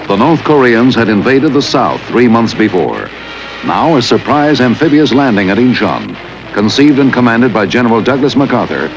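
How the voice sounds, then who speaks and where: An American man narrates history from a script as a documentary voice. His mid-range voice rises and falls freely over clearly audible background music. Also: medium pitch, low-quality recording.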